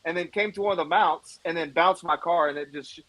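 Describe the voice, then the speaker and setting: A man's voice: fairly high pitch, fast talker, a bit bright, low-quality recording. A man on a live hobby talk show, telling a loose, rambling story full of "uh" and restarts. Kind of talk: casual chat, telling stories.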